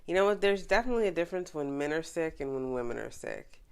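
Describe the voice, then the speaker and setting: A woman's voice: low voice, expressive, fast talker, clean tone. A young woman co-hosting a casual podcast with her partner, congested from a cold, chatty and teasing. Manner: chatty, teasing, reads search results aloud. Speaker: podcast co-host. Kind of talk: casual couple chat.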